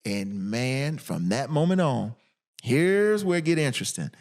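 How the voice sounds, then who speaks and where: A man's voice: mid-range voice, very animated, a little slow, darkish tone. A middle-aged American man, a podcast guest, telling personal stories casually in a candid, emphatic way.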